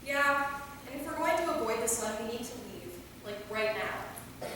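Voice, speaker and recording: A woman's voice: a little high, expressive, moderate pace, bright, forceful tone. A woman playing a flippant, careless vampire with a slangy, teasing way of talking in a staged reading. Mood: dismissive. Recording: echoey hall from far off, faint noise.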